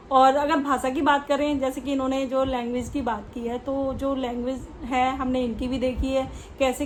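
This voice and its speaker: High-voiced woman giving casual commentary. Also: a little hiss or hum, low-quality recording. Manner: conversational, explanatory, mixing in English words. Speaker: reaction video host. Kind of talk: casual opinion commentary on online drama.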